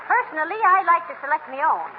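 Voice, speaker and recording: An old, noisy radio broadcast of a good-natured housewife. Her high voice moves in lively swoops, folksy and drily teasing, in the manner of 1930s comedy. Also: quick pace, phone-quality sound. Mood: sweetly sarcastic.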